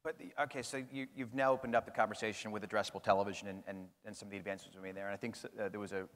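Male panel moderator; slightly low pitch, long framing questions, reverberant hall. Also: flat delivery, very fast, fairly bright tone. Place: large conference hall stage. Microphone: lapel mic.

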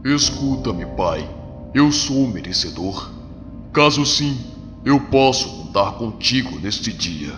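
Comic hero: solemn, proud warrior played by a man, Brazilian, measured declamation over music, long reverb. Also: mid-range voice, sing-song, fairly bright tone. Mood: solemn resolve.

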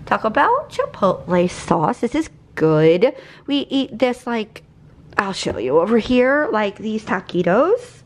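A woman's voice: fairly high pitch, a little hiss or hum. A woman in her forties chatting casually and rambling as she shows her groceries in her home kitchen. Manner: chatty, rambling, easily sidetracked. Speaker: vlogger. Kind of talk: casual grocery haul chat.

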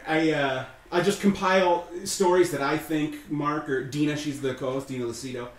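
A man with a somewhat high, nasal voice, chatting as a podcast guest. He laughs often and talks himself down, with loose, rambling restarts. The sound is echoey, as if recorded in a bare room. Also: steady tone, normal speed. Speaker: guest, radio news reader and comic writer. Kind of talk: casual podcast interview.

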